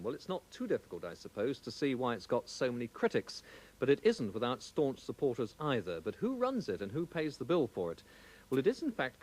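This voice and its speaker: A man's voice: medium pitch, darkish tone, husky, poor audio. A British man presenting broadcast news and interviewing, in a conversational, questioning manner. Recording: TV studio broadcast.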